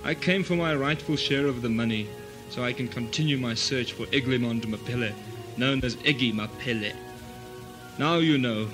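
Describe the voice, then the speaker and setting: A man's voice: mid-range voice, normal speed, music in the background, close to an everyday voice. Melodramatic, pompous adventurer played by a man. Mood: indignant. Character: theatrical, aggrieved adventurer confessing his past. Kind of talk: comic radio melodrama.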